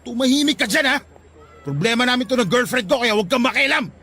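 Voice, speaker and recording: A man's voice: very high voice, expressive, quick pace, sharp tone, slightly rough, exaggerated voice. A man with a Filipino accent playing a jealous, hot-tempered young boyfriend, scornful and accusing, in a radio drama. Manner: confrontational, scornful, insulting. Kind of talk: Tagalog radio drama acting.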